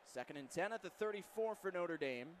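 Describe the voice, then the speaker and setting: High-pitched man calling football play-by-play. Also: lively intonation, a little fast. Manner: clipped present-tense narration of action. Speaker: radio football play-by-play announcer. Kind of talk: live play-by-play sports commentary. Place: football stadium broadcast position. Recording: faint stadium background noise.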